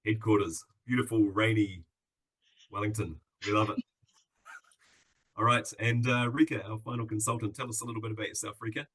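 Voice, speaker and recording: New Zealand man, upbeat chatty webinar host, husky lowish voice. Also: fairly even intonation, moderate pace, pauses often, a bit bright, low-quality recording. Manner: upbeat, chatty, with many fillers. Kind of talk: live webinar hosting. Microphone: video call.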